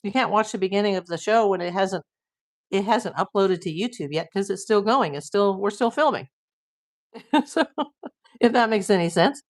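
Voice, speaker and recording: A woman's voice: mid-range voice, lively intonation, normal speed. A middle-aged woman hosting a casual livestream, chatting and reading viewer comments while losing her voice. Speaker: livestream host.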